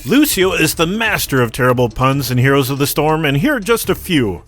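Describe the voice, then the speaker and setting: A man's voice: a little high, very animated, a little fast, fairly bright tone. A man reading scripted video commentary as a narrator. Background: background music.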